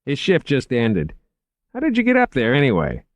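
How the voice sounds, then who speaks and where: A man's voice: mid-range voice, wildly swooping pitch, moderate pace, no echo, pitched above the performer's own voice. A man voicing a brusque, impatient male security dispatcher. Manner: gruff, impatient.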